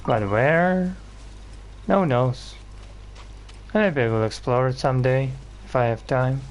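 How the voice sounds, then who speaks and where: A man's voice: mid-range voice, unhurried, a little hiss or hum. A man giving casual, rambling gameplay commentary as he plays. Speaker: gaming video host. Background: video game music.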